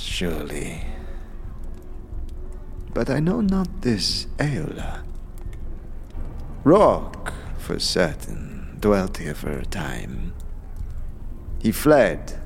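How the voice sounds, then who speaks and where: A man voicing a cool, commanding female gang leader in a fantasy audio drama, with imperious, deliberate, drawn-out phrasing. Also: sits low, gravelly, a little hiss or hum, voice pushed down, full character voice.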